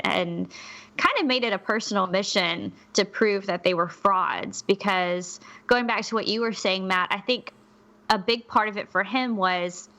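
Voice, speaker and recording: A woman with a clearly bright voice at an average pitch. She tells stories conversationally, like a podcast co-host sharing notes. Her manner is candid and chatty, often stepping aside to qualify what she says.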